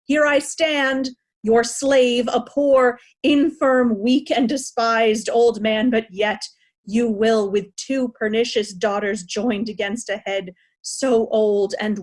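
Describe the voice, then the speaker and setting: Woman doing a wronged old king, slow n grand, raging shakespeare verse, high-ish voice. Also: fairly even intonation. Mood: raging, defiant. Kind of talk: theatrical Shakespearean verse reading.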